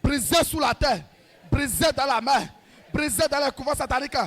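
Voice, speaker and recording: A man leading a shouted deliverance prayer, hammering out short repeated commands in a rhythmic, commanding way. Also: very high-pitched, normal speed. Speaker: prayer leader.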